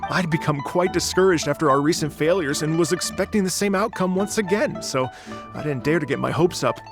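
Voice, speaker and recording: A man's voice: a little high, fairly quick, music in the background, lightly acted. A man playing an earnest, courteous and slightly flustered musician in an anime video game. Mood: grateful, inspired. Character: earnest, hopeful, polite male musician.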